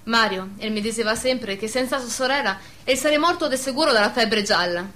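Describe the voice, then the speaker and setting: An actress playing an affectionate, boastful emigrant widow back from America, speaking with a Veneto accent in a radio play. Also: female voice, a little high, brisk, pushed and bright. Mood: fond, admiring. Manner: self-important, dropping in English words. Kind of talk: dialect radio theatre dialogue.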